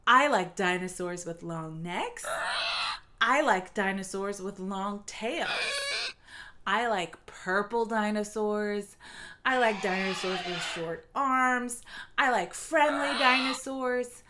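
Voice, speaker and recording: A woman's voice: mid-range voice, lively intonation, slow, bright, forceful tone. A woman reading aloud for young children in a playful, encouraging way, with short simple phrases and quiz-like prompts. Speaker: children's read-aloud narrator.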